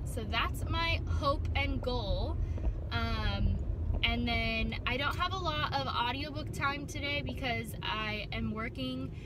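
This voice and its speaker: A young woman in her twenties chatting casually as a book vlogger, rambling with many ums and likes and sounding a little nasal from a cold. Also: on the high side. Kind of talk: casual vlog chat. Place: car. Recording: clear car and road noise behind.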